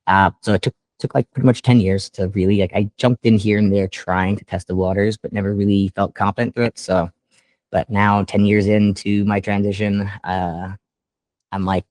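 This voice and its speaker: Animated man with a dry close sound. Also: moderate pace, no room sound. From Canada. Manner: animated, laughs often, pitch jumps up when excited; trained classical singer. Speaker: guest musician. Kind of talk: casual interview chat.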